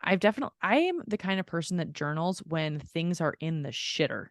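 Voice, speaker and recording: A woman's voice: on the low side, expressive, fairly quick, no echo, clean studio sound. A woman co-hosting a podcast in casual chat with a friend, gushing and affirming, rambling in a giggly, self-deprecating way. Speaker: podcast co-host.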